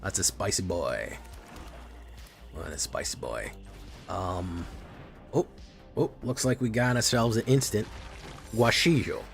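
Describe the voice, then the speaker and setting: Brief: young adult man, deep voice, easygoing streamer banter over faint background music. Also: takes it slowly, gravelly, pauses often. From Chile. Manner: relaxed, chatty, reacting to chat. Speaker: streamer playing a card game. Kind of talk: casual live-stream commentary.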